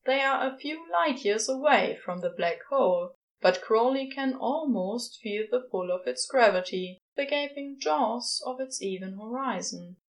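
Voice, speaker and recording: A woman's voice: fairly high pitch, expressive, unhurried, poor audio. A woman reading a story aloud as narrator, in a scripted fiction style. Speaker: podfic reader, narrator.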